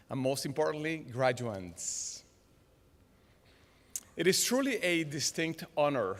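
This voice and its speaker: A middle-aged man with a Venezuelan Spanish accent gives a formal commencement speech in a measured, deliberate way at a podium. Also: a little high, fairly bright tone. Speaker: graduation speaker, business executive. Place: large indoor auditorium stage. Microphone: podium gooseneck microphones. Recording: long hall reverb from auditorium PA.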